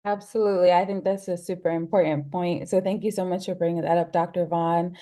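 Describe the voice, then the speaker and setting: Mellow, upbeat woman moderator. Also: fairly low pitch, fairly even intonation, fairly quick. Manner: upbeat, polite. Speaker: webinar moderator. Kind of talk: moderating a panel. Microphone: video call.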